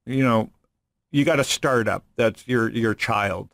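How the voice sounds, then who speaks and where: Middle-aged man, casual podcast host, rambling banter, irreverent humor, mid-pitched, dry studio sound. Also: unhurried, vocal fry, a bit bright, no echo. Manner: irreverent, joking, swears. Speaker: podcast host and venture investor.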